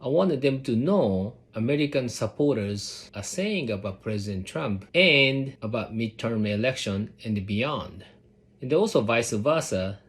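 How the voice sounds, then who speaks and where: A middle-aged man with a Japanese accent, a native Japanese speaker, talking earnestly and directly to his viewers as a channel host, measured and emphatic, repeating his key points. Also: lowish voice. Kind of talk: earnest direct-to-camera address.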